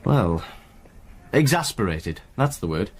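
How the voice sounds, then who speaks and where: An actor playing a polite, evasive accountant in a radio drama, fussy, apologetic and hedging. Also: male voice, fairly low pitch, sing-song, takes it slowly, slight background noise. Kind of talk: naturalistic radio drama acting.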